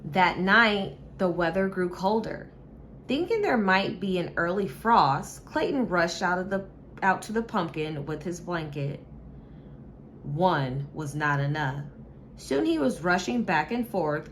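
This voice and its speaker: A woman reads a picture book to young children at a very slow, deliberate pace. Her voice sits a little low for a woman, and her delivery is expressive and measured. There is clear background noise in the recording. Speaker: teacher reading a picture book aloud. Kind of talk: children's story read-aloud.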